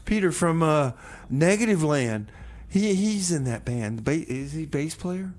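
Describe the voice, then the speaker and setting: A man hosting a comedy radio show with excitable, rambling, casual banter, playing a scrappy bunker survivor. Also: on the high side, unhurried. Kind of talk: improvised comic banter, casual radio chat.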